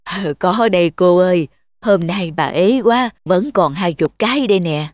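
A woman playing a gentle, timid elderly grandmother who sells snacks, in a cartoon. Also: lowish voice, normal speed, no echo, clean studio sound, natural voice. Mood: weary, kindly. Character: kind, slow, timid elderly grandmother street vendor.

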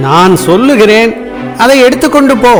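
Older sage, terse and commanding, high-pitched, faint music bed. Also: male voice, animated, moderate pace. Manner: terse, authoritative instructions. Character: old revered male sage, calm and commanding. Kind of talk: radio drama acting.

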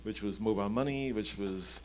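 A man's voice: lowish voice, fairly quick. Middle-aged man explaining on a panel. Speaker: panelist, company chief executive. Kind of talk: panel discussion talk, explaining. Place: indoor conference event on a stage. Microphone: handheld microphone.

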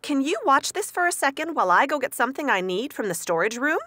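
A woman playing a friendly, brisk young female mechanic who is gently strict, in a children's cartoon. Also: high voice, very animated, no echo, heavily acted. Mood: instructing. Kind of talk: animated children's cartoon dub.

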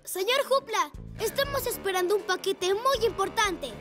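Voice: very high voice, moderate pace. A performer voicing a brave, enthusiastic little boy rescue motorcycle in a children's cartoon. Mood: eager, excited. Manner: enthusiastic, exclaiming. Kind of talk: animated children's show dubbing. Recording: music behind the voice.

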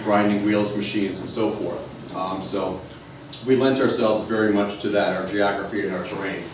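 A man's voice: medium pitch, a little mellow, a little hiss or hum. A man in his thirties from Connecticut giving a casual, digressive history talk to an audience in a library meeting room. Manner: chatty, digressive, self-deprecating with many fillers. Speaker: local history lecturer. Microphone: far camera, no mic seen. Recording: roomy echo, distant from the camera.

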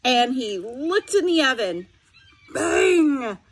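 A woman's voice: sits high, very animated, slow. A middle-aged woman reading a picture book aloud expressively, with instructive asides spoken directly to students. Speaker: special education teacher reading aloud.

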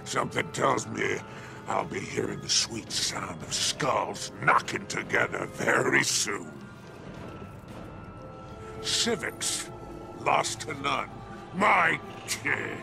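Gravelly alien mob boss for a game, sly n menacing, slow drawn out lines. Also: male voice, big pitch swings, music in the background, heavily acted. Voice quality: deep gravelly, growling. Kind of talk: video game character acting.

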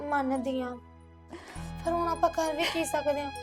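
Young woman doing a soft caring cousin, very high voice, pleads a lot, faint music. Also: steady tone, a little slow. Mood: consoling. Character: gentle young woman cousin comforting the bride.

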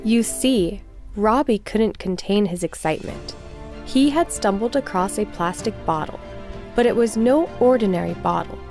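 A woman reading a children's storybook aloud in scripted narration, expressive and clearly phrased for young listeners. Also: mid-range voice, normal speed, soft background music.

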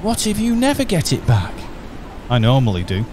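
A man voicing an excitable young male bear in a radio play, with high squeaky yelps. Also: normal speed. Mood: excited. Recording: radio play with clear background noise.

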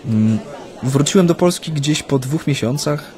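An actor in a naturalistic radio drama playing a proud, homesick exiled Japanese activist, speaking hesitantly and reflectively with humming pauses. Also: male voice, fairly high pitch, animated, warm tone. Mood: reserved, measured. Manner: hesitant, reflective, pausing with hums.

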